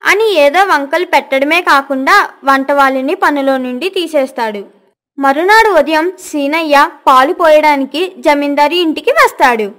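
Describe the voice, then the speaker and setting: A woman with a high voice narrates a children's story. She reads from a script, as a storyteller presenting a moral tale. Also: moderate pace, fairly bright tone.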